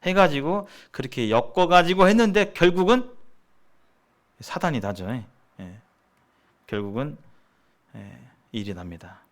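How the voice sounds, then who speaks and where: A man's voice: fairly high pitch, sing-song, measured pace, long pauses. A man teaches church members in a conversational, sermon-like way, explaining points and putting questions to his listeners. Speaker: church seminar speaker.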